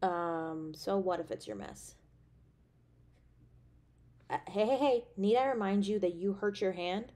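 A woman's voice: mid-range voice, measured pace, long pauses, lightly acted. A woman acting a tender, affectionate girlfriend. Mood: teasing, caring. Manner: tender, expressive, with sighs and soft chuckles. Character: affectionate, anxious, playfully teasing girlfriend on a date. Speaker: voice actor in an audio roleplay. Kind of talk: intimate romantic audio roleplay acting.

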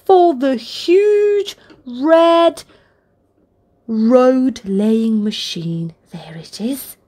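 A woman reading a picture book aloud for toddlers, with deliberate, expressive phrasing and encouraging asides. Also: sits high. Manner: deliberate, expressive phrasing aimed at small children. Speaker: children's storybook reader, channel host.

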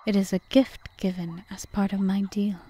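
A woman playing a calm, calculating forest witch in an audio roleplay, speaking in a measured, formal, often whispery way. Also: medium pitch, breathy edge, lightly acted. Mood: solemn, wistful. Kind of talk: ASMR roleplay monologue.

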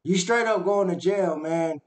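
A man's voice: high voice, a little slow. A man hosting a reaction video from a small home room at a webcam, talking earnestly and casually, with a lot of "man" and "y'all". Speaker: reaction channel host. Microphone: webcam at desk.

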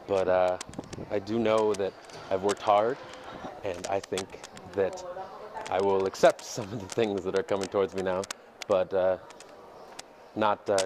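A man in his thirties, a dance teacher, talks candidly in a walking vlog, reflective and hesitant, recorded on a headset mic outdoors. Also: lowish voice. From United States. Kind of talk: casual walking vlog monologue. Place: city streets outdoors. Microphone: earphone headset mic, phone at arm's length. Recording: noisy outdoor street recording. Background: street ambience and passing vehicles.

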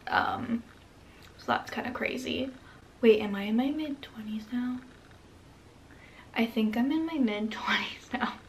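A woman in her twenties talking to camera in her bedroom, rambling warmly with lots of "like" fillers and little laughs. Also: fairly high pitch, big pitch swings, unhurried, pauses often, slight background noise. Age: 20s. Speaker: vlogger. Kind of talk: casual reflective talk to camera. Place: bedroom, indoors.

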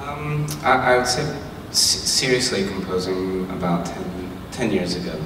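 A man's voice: deep voice, fairly even intonation, measured pace, background noise. A man answering hesitantly with many fillers as a panel guest on a convention stage. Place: large convention hall stage. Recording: echoey hall heard from far off.

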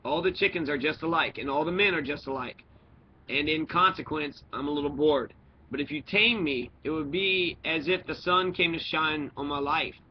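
Man reading as a wistful storybook fox, raised pitch. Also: lightly acted. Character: wistful talking fox, philosophical, lonely, from a storybook. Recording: dull lo-fi recording with noise.

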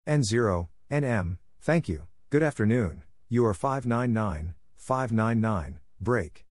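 A man narrating practice drills in a measured, neutral, scripted way, reading out letters, numbers and short phrases with clear enunciation. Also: lowish voice, lively intonation, darkish tone, no echo.

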